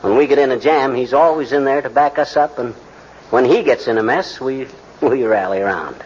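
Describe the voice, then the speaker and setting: A man's voice: mid-range voice, fairly quick, breathy edge, lightly acted. An American man playing a blustery, folksy middle-aged husband who grumbles and wisecracks in slangy 1940s radio comedy style. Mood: earnest. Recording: noisy, dull 1940s radio recording.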